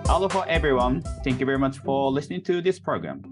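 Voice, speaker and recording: A man's voice: medium pitch, sing-song, fast talker, over loud music. A middle-aged man from Japan with a Japanese accent, a minister hosting a casual faith podcast with careful, simple phrasing. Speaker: head minister and podcast host.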